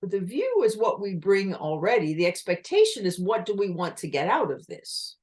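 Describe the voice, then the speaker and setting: A woman giving an online communication talk with slides, explaining in a lively manner and asking her listeners rhetorical questions over a video call. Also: medium pitch, moderate pace. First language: English.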